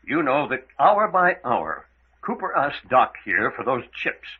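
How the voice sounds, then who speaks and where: An actor playing a stern, blunt adventurer hero in an old-time radio mystery, with a firm, clipped, formal delivery and moral indignation. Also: male voice, medium pitch, sing-song, unhurried, slightly rough. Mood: accusing. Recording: dull, lo-fi old radio recording.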